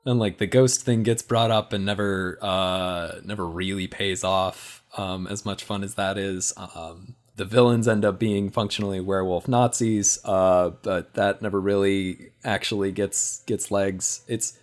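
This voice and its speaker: A man with a fairly low voice chats as a panelist on a podcast. He muses in a critical, conversational way, thinking aloud as he talks. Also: fairly even intonation, a little slow, few pauses. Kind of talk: casual film discussion.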